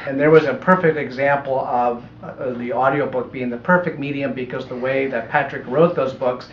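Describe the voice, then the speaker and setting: A middle-aged American man explaining his audiobook work in a measured, thoughtful way during an interview. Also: medium pitch. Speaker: audiobook producer being interviewed. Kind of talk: conversational interview answers. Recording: echoey room, muffled, faint noise.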